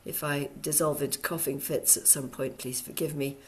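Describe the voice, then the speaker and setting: A woman with a low, croaky voice, hoarse after a cold. She teaches a workshop over a video call, chatting along with plenty of ums. She sounds middle-aged. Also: steady tone, moderate pace. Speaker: Alexander Technique teacher.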